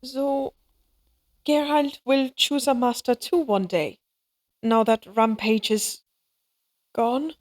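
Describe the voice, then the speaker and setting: A woman's voice: fairly high pitch, steady tone, slow pace, long pauses, darkish tone. A woman acting in an audio roleplay as an anxious, tender young mother who speaks hesitantly and wistfully. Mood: sad, confused.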